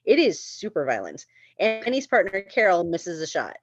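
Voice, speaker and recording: A woman's voice: fairly low pitch, huge pitch swings, normal speed, no room sound, lo-fi sound. A woman reading screenplay stage directions aloud as the narrator of a table read.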